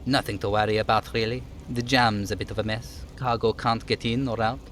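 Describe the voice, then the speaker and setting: A man's voice: lowish voice, steady tone, brisk, fairly bright tone, slight background noise, natural voice. A man voicing a chatty, easygoing striking union worker in a video game, with a folksy, teasing manner. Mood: friendly.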